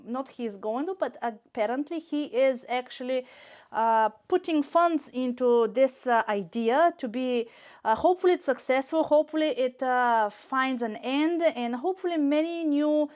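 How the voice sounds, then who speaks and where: A woman from Bulgaria with a high voice and a Bulgarian accent speaks as a shopping vlogger. She chats casually to her viewers in a gushing way, often doubling up words for emphasis. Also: normal speed, a little mellow. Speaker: YouTube shopping and unboxing vlogger. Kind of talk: casual chat to camera.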